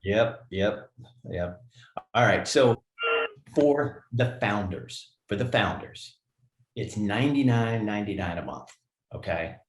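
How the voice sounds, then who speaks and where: A man's voice: lowish voice, expressive, slow. A man co-hosting a company livestream in a casual, upbeat way, reading names from the chat and laughing often. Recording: echoey room.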